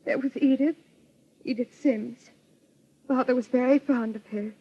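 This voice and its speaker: An American woman playing an anxious, well-bred bereaved daughter in an old-time radio melodrama, speaking earnestly and politely with a husky voice. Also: high voice, fairly even intonation, a little slow, highs cut off, exaggerated voice. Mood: earnest, troubled. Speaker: radio actress.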